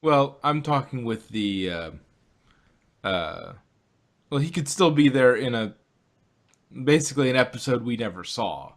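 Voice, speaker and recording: A man's voice: medium pitch, lively intonation, unhurried, long pauses. A man co-hosting a fan podcast review, talking casually and rambling with lots of fillers and restarts. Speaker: podcast co-host.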